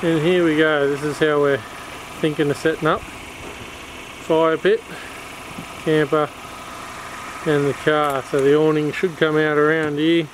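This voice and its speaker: Laid-back Australian dad filming a vlog. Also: male voice, fairly high pitch, level tone, measured pace, long pauses. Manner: laid-back, joking banter with his kids. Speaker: dad filming a camping trip. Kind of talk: casual vlog narration. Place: in a car, then outdoors at a bush campsite. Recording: noisy outdoor camera audio.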